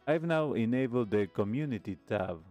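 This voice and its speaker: A man hosting a live stream radio show, speaking reflectively in a measured, halting way with pauses. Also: medium pitch, expressive, darkish tone. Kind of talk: reflective host talk, signing off a live stream. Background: music playing under the voice.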